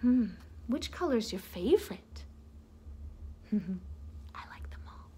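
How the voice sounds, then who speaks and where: A woman's voice: on the high side, very animated, slow pace, warm tone, whispered. A woman reading a children's story aloud like a teacher, theatrical and playful, coaxing her listeners to join in.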